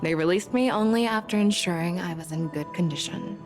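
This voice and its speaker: A woman's voice: lowish voice, a little fast, music in the background, close to an everyday voice. A woman playing an authoritative, shrewd general in a fantasy game, speaking formally with cool self-assurance. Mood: polite. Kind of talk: formal scripted game dialogue.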